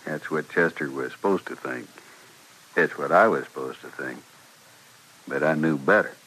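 Dying frontier shopkeeper, western American, weak, plain and resigned, low husky voice, old radio drama sound. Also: male voice, normal speed, pushed and bright, heavily acted, poor audio. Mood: bitter, resigned. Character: bitter, dying frontier storekeeper, a man. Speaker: radio actor. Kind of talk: 1950s radio western acting. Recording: vintage radio recording.

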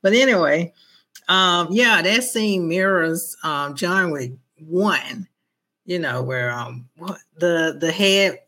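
A woman's voice: lowish voice, lively intonation, slow, pushed and bright. A woman co-hosting a movie review podcast, chatting casually with joking asides.

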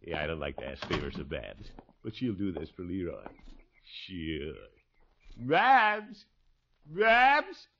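An actor playing a pompous, fretful middle-aged American uncle in a 1950s radio comedy, hemming and hawing. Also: male voice, sits low, very animated, slow pace, long pauses, pushed and bright, a little hiss or hum, heavily acted. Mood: coaxing. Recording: muffled 1950s radio recording.

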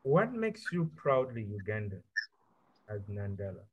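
Soft-spoken male podcast host. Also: lowish voice, very animated, unhurried, lo-fi sound, background noise. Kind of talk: interview questions and thanks.

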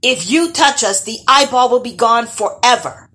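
A woman voicing a bold, cocky young boy hero who yells defiantly in a storybook tale. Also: low voice, big pitch swings, takes it slowly, heavily acted. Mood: threatening. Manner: defiant yelling.

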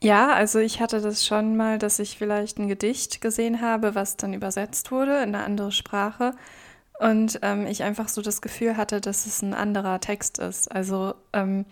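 A woman's voice: medium pitch, flat delivery, normal speed. A young woman, raised bilingual in German and Russian, co-hosting a podcast in a thoughtful, casual way with many hesitant fillers. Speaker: podcast co-host. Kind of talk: casual conversation.

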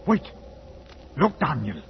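An actor playing a loyal, wary soldier sidekick with plain, rustic, deferential speech, in 1940s radio drama style. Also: male voice, fairly high pitch, wildly swooping pitch, slow pace, heavily acted. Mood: weary, alarmed. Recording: muffled, faintly noisy 1940s radio recording.

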